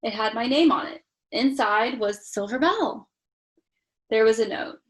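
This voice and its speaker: A woman with a slightly high voice reads a picture book to young children. She is expressive and speaks to them directly, mixing narration with chatty little asides. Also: measured pace. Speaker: storytime reader.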